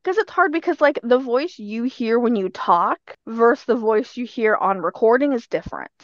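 A woman's voice: fairly high pitch, lively intonation, moderate pace, no echo, muffled recording. A woman co-hosting a podcast in casual chat, telling things as stories and acting out little quotes. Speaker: podcast co-host.

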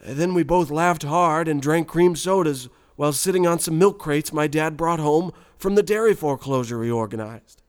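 Guy as a deadpan farm kid storyteller, rural american accent, highish voice, folksy and a bit smug. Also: normal speed, clear, resonant tone, lightly acted. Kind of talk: scripted first-person storytelling, radio parody.

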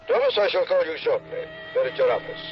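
A man's voice: on the high side, animated, fast talker, muffled recording, over music, pitched above the performer's own voice, natural voice. An actor putting on the voice of a sly male blackmailer whose letter is read out, smug and falsely polite, in a 1960s radio drama.

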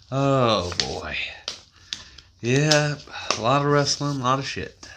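A man's voice: medium pitch, animated, extremely slow, background noise. A man co-hosting a podcast, adding wry quips and echoing the host's jokes. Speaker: podcast co-host. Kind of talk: casual podcast chat.

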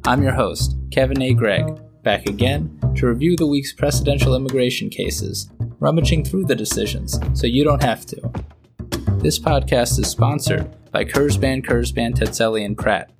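A male podcast host with a cold, so his voice sounds slightly nasal. He moves briskly through scripted legal updates and ad reads at a medium pitch. Music plays clearly under his voice. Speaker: podcast host and immigration lawyer.

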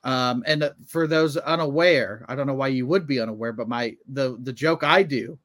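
A man's voice: medium pitch, normal speed, a bit bright, no room sound, studio quality. A man in his thirties, a comedian and podcast co-host, telling things in a casual conversational way. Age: 30s.

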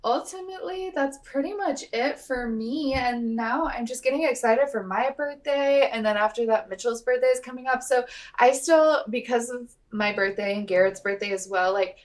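A woman's voice: on the high side, moderate pace. A woman in her twenties co-hosting a podcast, chatting casually and playfully with dramatic exaggeration. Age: 20s. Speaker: podcast co-host. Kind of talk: casual chat.

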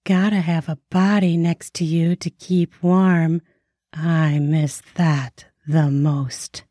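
A man's voice: on the high side, level tone, slow, mellow, no echo, clean studio sound, voice pushed up. A man voicing a bawdy, sly frontier barkeep woman with a Minnesota accent and a teasing, drawling delivery. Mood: wistful. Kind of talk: audiobook character voice.

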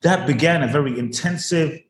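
A man's voice: fairly high pitch, moderate pace, roomy sound, slight background noise. A man from Ghana, a spiritual teacher telling his life story earnestly in an interview over a video call, repeating words for emphasis.

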